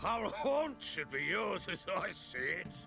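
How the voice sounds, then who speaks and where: An actor playing a blustering, hearty baron in a dramatic video game, with a gravelly voice and emphatic rustic speech. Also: male voice, high-pitched, big pitch swings, takes it slowly, music in the background, heavily acted. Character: blustering, hearty male baron, lord of the hall.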